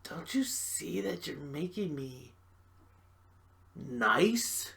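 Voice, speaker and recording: A woman plays a frantic, prickly woman in a theatrical stage monologue, pleading with great urgency. Her delivery is slow and deliberate, and her pitch swings widely as she moves between hushed and forceful speech. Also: very animated, full character voice. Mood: anguished confession. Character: self-described jerk woman, frantic, pleading against a marriage proposal.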